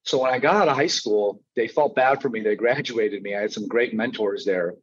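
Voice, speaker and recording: A man's voice: lowish voice, fairly quick. A man in his thirties from Chicago, telling his own story conversationally as a podcast guest. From Chicago, United States. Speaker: podcast guest, mortgage branch manager and coach. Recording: slightly dull, lo-fi audio.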